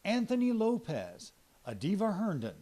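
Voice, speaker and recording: A man's voice: sits high, huge pitch swings, normal speed, mellow. A man with an American accent, a TV news reporter reading scripted feature narration.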